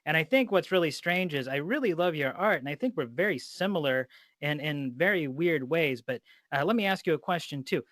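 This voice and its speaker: A man's voice: a little high, lively intonation, fairly quick, fairly bright tone, dry recording. A middle-aged man hosting a casual interview, talking in a rambling, unfiltered way with some swearing. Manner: rambling, profane.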